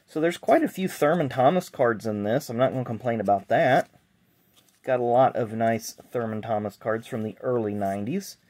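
A middle-aged man talks casually in a rambling way, tossing in self-deprecating asides. His voice sits at an ordinary pitch for a man, and the camera mic picks him up at a table indoors. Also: darkish tone. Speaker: sports card collector vlogger. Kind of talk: casual unboxing chat. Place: indoor card room at a table. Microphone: camera mic, hands in frame.

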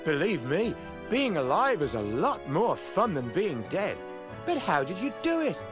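Brash, high-pitched young lad. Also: male voice, unhurried, music in the background, exaggerated voice. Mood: friendly, eager. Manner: animated, plain-spoken, brash. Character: brash young guildsman lad, wry, good-hearted. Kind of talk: 1990s adventure game voice acting.

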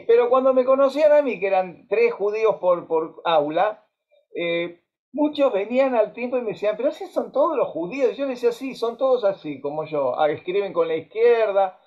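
A man in his fifties from Argentina with an Argentine Spanish accent, a musician hosting a casual video-call conversation with lively storytelling, frequent fillers and restarts. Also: sits high, moderate pace. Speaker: musician and host. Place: home music room. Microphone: webcam on a video call. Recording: dull lo-fi video-call audio.

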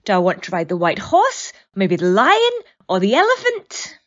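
A woman's voice: medium pitch, wildly swooping pitch, moderate pace, a bit bright. A woman voicing a polite, wide-eyed, easily delighted companion in an audio drama, earnest and formal, on a slightly dull recording. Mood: enchanted.

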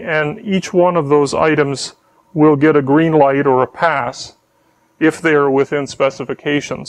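A man in his thirties giving a measured, technical walkthrough of a speaker testing area in a factory. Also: medium pitch, no echo. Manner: measured, technical, repeats words when restarting. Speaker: company spokesman giving a factory tour. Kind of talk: explanatory walkthrough. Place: indoor factory test area and equipment lab.

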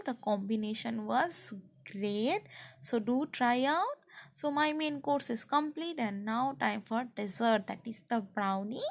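A woman's voice: fairly high pitch, creaky. A woman with an Indian accent giving a casual food review as a vlogger's voice-over.

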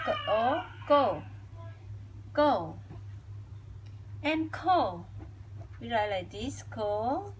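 Young woman with a khmer accent, slow lesson, bit high voice, faint hiss behind. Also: low-quality recording. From Cambodia. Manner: deliberate, repeats sounds many times. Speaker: language teacher. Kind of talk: slow whiteboard language lesson. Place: indoors at a whiteboard.

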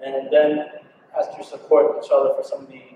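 A man in his thirties from Maryland, speaking at a conference podium and telling his life story in a relaxed, conversational way. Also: mid-range voice, brisk, slight background noise. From Maryland, United States. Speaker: panel speaker, former prisoner. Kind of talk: personal talk at a podium. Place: large conference hall. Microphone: podium microphone from a distance. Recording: echoey hall, distant dull mic.

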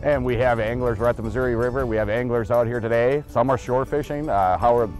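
A man's voice: medium pitch, fairly even intonation, a little mellow, soft background music. A man interviewing a guest outdoors, posing short prompting questions and naming the guest. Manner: brisk, prompting, addresses the guest by name.

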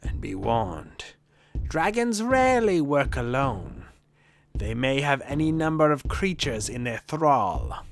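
A performer as dour old town leader, slow and proclaiming. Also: mid-range voice, very animated, creaky, a little hiss or hum, exaggerated voice. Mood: ominous warning. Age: around seventy. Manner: grave, proclaiming, accusatory. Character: wrinkled, decrepit seventy-year-old town official, dour and domineering. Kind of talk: scripted fantasy audio drama.

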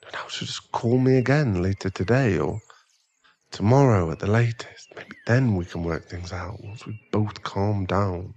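A man playing an irritable, weary sugar daddy boyfriend who wants space, in a halting, sighing ASMR roleplay audio drama. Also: lowish voice, a little mellow, dull audio. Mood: regretful.

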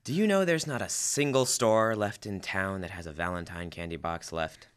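A man's voice: deep voice, normal speed, clean tone, lightly acted. A young man playing an earnest, flustered, apologetic husband in old-time radio comedy. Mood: apologetic, dejected. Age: teens or young adult. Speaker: high school student actor. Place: school library room. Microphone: stand microphone.

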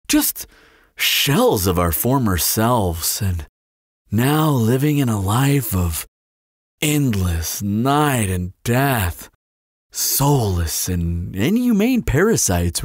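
A man's voice: medium pitch, dry recording, studio quality. A man playing a flirtatious, sardonic vampire in a roleplay audio drama, talking in a glib, teasing, playful drawl. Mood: musing, morbid. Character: flirtatious, sardonic male vampire toying with a slayer.

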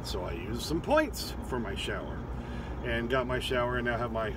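A man's voice: mid-range voice, sing-song, moderate pace, background noise. A man in his fifties, a truck driver vlogging from his cab, chatty and rambling with many fillers and restarts. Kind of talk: casual vlog talk to camera.

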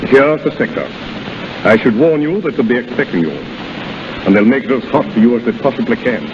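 A man playing a brisk, authoritative army colonel in a 1930s radio drama. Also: medium pitch, expressive, natural voice. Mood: brisk, commanding. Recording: muffled, noisy 1930s radio, long reverb.

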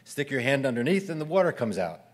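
A middle-aged man hosts an event from a podium microphone in an indoor hall. He reads prepared remarks in a steady, even delivery. His voice has an ordinary mid pitch. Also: fast. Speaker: event host.

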